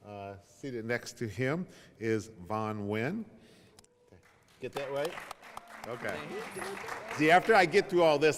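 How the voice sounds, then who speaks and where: A man's voice: medium pitch, fairly bright tone, in a large hall, slight background noise. A middle-aged man moderating a panel before an audience, speaking informally in a conversational, joking, self-deprecating way. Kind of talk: informal spoken introduction to an audience. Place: large reverberant event room.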